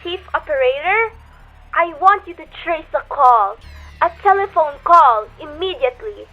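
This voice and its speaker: A woman's voice: sits high, animated, normal speed, dull audio, faint music behind. A woman playing an agitated, imperious married woman in a radio play, with a demanding, indignant, melodramatic delivery. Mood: anxious, urgent. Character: agitated, imperious married woman reporting an overheard murder plot.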